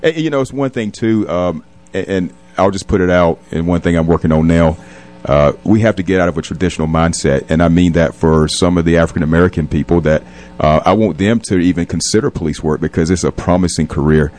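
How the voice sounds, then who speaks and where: Male interview guest, very low voice, reflective, measured, hesitant phrasing for a conversational radio segment. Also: a little mellow. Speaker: police captain and community leader, interview guest.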